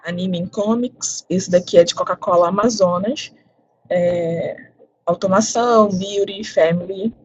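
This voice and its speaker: A woman demonstrating a software platform on a webinar screen share, reading out lists in an explanatory, casual way. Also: medium pitch, a little slow. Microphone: video call. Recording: dull lo-fi audio.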